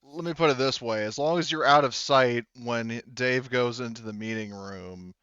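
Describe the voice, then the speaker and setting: A man runs a tabletop game in a casual, conversational way. His voice is smooth and of middling pitch. The recording is dry and slightly dull. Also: normal speed, fairly bright tone, dry recording. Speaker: game master.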